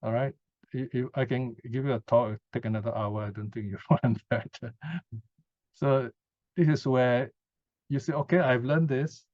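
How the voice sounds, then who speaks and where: A man's voice: mid-range voice, fairly even intonation, normal speed, dry recording. A man giving an informal Buddhist teaching talk and answering questions, conversational and often checking in with short tag questions. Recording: dull lo-fi sound, dry.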